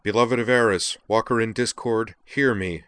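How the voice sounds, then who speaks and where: A man's voice: fairly low pitch, steady tone, normal speed, a bit bright, smooth, no room sound, voice pushed up, lightly acted. A man voicing a tiny, commanding mage in a fantasy audiobook, declaiming formally and intensely. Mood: solemn. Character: tiny pompous illusory mage, urgent and commanding.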